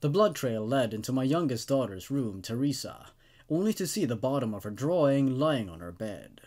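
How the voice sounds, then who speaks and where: A man's voice: medium pitch, sing-song, moderate pace, darkish tone. A man hosting a horror story show, moving between dramatic narration and casual review, with emphatic delivery. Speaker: host reading and reviewing horror stories.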